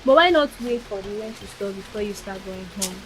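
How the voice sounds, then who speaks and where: A woman's voice: medium pitch, animated, brisk, slight background noise, lightly acted, poor audio. An actress playing a chatty, teasing city girlfriend.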